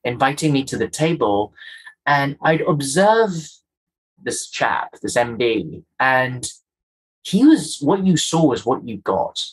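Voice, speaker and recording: A man from Kenya whose Kenyan accent has been polished down over the years. He speaks as an interview guest, reflective and earnest, repeating key words for emphasis. His voice sits at a medium pitch. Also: very animated, takes it slowly, breathy edge, rough recording. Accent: softened Kenyan.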